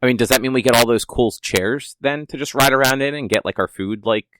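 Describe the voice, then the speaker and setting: A man hosting a casual gaming podcast, chatting loosely with frequent swearing and quick excited bursts. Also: medium pitch, dry recording. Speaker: podcast host. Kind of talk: casual chat. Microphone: home podcast mic on a laptop.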